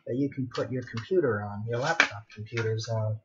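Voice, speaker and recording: A man's voice: medium pitch, fairly quick. A young man rambling casually and self-deprecatingly on a livestream while he draws. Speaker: art livestreamer. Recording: muffled home mic with background noise. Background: background noise, laptop cooling fan.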